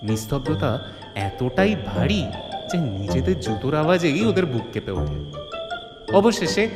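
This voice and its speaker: A man's voice: fairly high pitch, very animated, measured pace, long reverb tail, music in the background. A man narrating a scripted story theatrically, with suspense and wry colloquial humour.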